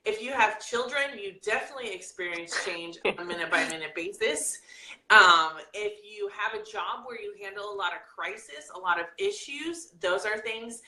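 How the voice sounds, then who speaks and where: Bright-voiced woman coaching in an echoey room. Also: medium pitch, slight background noise. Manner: lively, reads viewer comments aloud, turns soft and slow for the breathing exercise. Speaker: life coach hosting a live video. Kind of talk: coaching talk and guided breathing.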